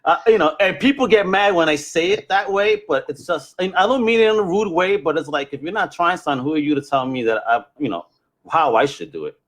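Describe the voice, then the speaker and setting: A man's voice: a little high, lively intonation, brisk, a bit bright. A man hosting a casual podcast, talking in an enthusiastic, effusive and conversational way. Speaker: podcast host.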